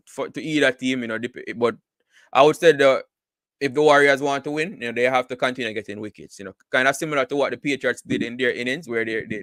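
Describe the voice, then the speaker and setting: A man's voice: medium pitch, a bit bright, dry recording. A man giving casual live cricket commentary, full of repeated words and "you know" fillers.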